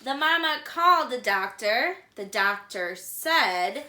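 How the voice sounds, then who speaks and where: A woman reading a picture book aloud for young children as a storytime host, with simple, deliberate, singsong phrasing. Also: a little high, expressive, sharp tone. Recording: echoey room.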